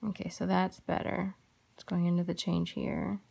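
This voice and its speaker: A woman hosting a crochet tutorial, talking softly and unhurriedly in a gravelly voice, having just woken up. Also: lowish voice, flat delivery. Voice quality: gravelly morning voice. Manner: soft-spoken, unhurried with fillers. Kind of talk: casual tutorial chat while crocheting.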